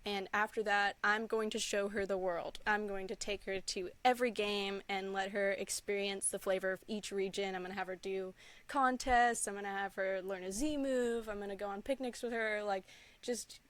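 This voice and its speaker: A woman's voice: mid-range voice, steady tone, normal speed, fairly bright tone, dry recording. A young adult woman telling personal stories in casual chat as an enthusiastic, gushing podcast guest. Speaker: podcast guest, content creator.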